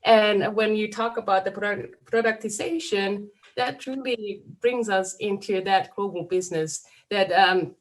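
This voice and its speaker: Casting: Finnish woman moderating, halting English, frequent "uh". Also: medium pitch, poor audio. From Finland. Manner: hesitant, many "uh" pauses.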